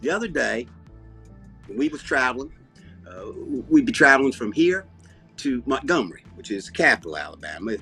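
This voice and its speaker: An older man with a Southern US accent and a husky voice, chatting in a folksy, rambling storytelling way with down-home grammar. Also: medium pitch, sing-song, fairly quick, sharp tone, soft background music. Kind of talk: casual bantering chat.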